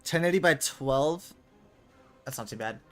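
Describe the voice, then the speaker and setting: An eighteen-year-old man casting a competitive VR shooter match alone, rambling with lots of fillers between rounds and jumping loud and high when plays happen. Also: measured pace. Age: young adult. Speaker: esports caster. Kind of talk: solo play-by-play game commentary with viewer chat. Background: game music.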